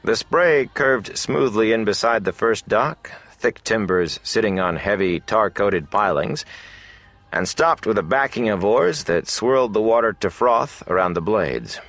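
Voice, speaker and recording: A man narrating a fantasy novel as an audiobook, in a measured, dramatic storytelling manner. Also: fairly low pitch, lively intonation, a bit bright.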